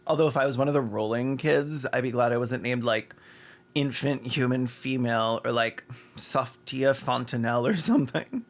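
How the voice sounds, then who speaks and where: A man's voice: mid-range voice, moderate pace. A man hosting a video essay with snarky, mocking, sometimes sweary scripted commentary and asides.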